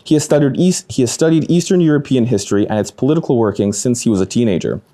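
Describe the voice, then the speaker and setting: A man's voice: medium pitch, quick pace, mellow. A man hosting a lecture, reading announcements and a speaker introduction, stumbling and correcting himself now and then. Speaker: event host. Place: lecture room.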